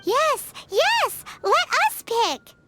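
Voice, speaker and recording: A woman's voice: very high-pitched, very animated, drawn-out words, noisy background, exaggerated voice. A woman voicing a tiny, chirpy, childlike girl companion in a video game, cheeky and easily spooked. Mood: eager. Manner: speaks of herself in the third person. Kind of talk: video game character acting.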